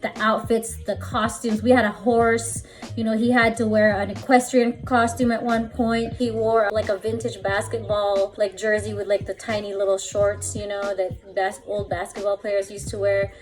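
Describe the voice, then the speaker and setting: A woman's voice: mid-range voice, level tone, moderate pace, faint music behind. A young adult woman from the Philippines, a fashion stylist, chatting casually in an interview with lots of "like" and "you know".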